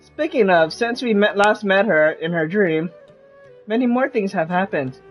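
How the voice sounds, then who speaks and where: A woman streams a video game with casual, off-the-cuff commentary. Her voice sits at a medium pitch, and faint game music plays behind her. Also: expressive, normal speed, muffled recording.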